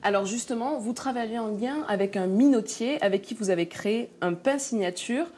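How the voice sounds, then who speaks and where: A woman's voice: a little high, a little fast, dark tone. A woman, presenting a regional TV magazine as a reporter.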